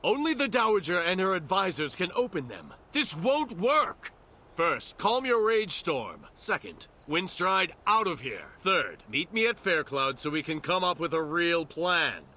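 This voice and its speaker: A man's voice: sits high, very animated, measured pace, sharp tone, exaggerated voice. A man playing an earnest, exasperated rebel ally in a video game, speaking with an incredulous, urgent manner. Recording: music behind the voice.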